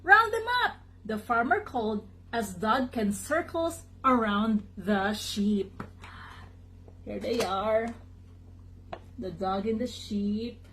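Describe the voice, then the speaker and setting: A woman reading a picture book aloud to children in an expressive, dramatic way, pausing to chat to young listeners. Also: medium pitch, a little hiss or hum, poor audio. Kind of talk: animated children's read-aloud.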